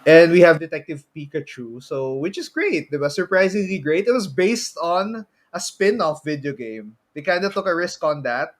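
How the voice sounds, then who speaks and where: Male podcast host, slightly high voice, Filipino accent, conversational, drops Tagalog particles into English. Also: big pitch swings, moderate pace, hardly any pauses. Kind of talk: casual podcast chat.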